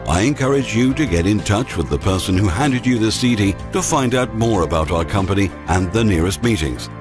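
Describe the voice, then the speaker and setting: A man's voice: on the low side, lively intonation, brisk. A man reading a formal, promotional company presentation script. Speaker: corporate presentation narrator. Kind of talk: scripted corporate narration. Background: background music.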